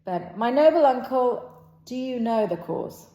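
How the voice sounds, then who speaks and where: Echoey room, woman reading earnest young noble guy in an old play, telling it slow and halting. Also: a little high, expressive, warm tone, lo-fi sound. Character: earnest young nobleman recounting events to his elders. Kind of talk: amateur stage play read-aloud.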